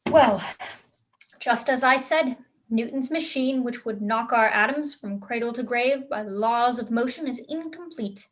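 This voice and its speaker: Young woman as a precocious high-voiced teenage girl. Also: unhurried, close to an everyday voice, rough recording. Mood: confident, scornful. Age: young adult. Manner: emphatic, self-assured. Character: precocious sixteen-year-old aristocratic girl, eager and opinionated. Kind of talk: staged reading of a period comedy.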